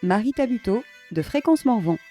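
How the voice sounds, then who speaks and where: A woman's voice: fairly high pitch, expressive, normal speed. A woman announcer reading out scripted credits. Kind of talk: scripted read-out. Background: soft music.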